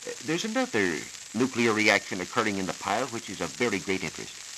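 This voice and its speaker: An American man with a fairly low voice gives a formal, scripted science explanation in the manner of 1940s educational radio. His pitch moves animatedly as he teaches. The old transcription disc hisses and crackles clearly throughout. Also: sing-song. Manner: measured, didactic, formal. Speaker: science reporter on a radio programme. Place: radio studio.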